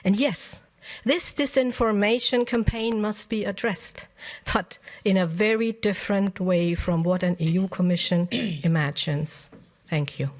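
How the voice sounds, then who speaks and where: A woman member of parliament reading a prepared press conference statement in a firm, measured way. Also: medium pitch, darkish tone.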